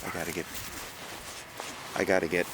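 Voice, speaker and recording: An actor playing a frightened reporter recording himself on a secret night stakeout in a horror audio drama, halting and stammering. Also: male voice, low voice, little pitch movement, natural voice. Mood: horrified, disbelieving. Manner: halting, stammering, gasping exclamations. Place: outdoors on a farm. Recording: noisy outdoor location recording.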